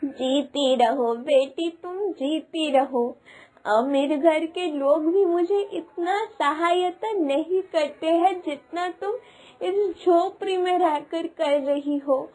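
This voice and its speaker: A woman's voice: high voice, a little mellow. A woman voicing a frail, needy old village woman in a cartoon, pleading and grateful in her manner. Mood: grateful blessing. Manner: pleading, slow, plaintive. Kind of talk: Hindi cartoon moral story acting. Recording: music behind the voice.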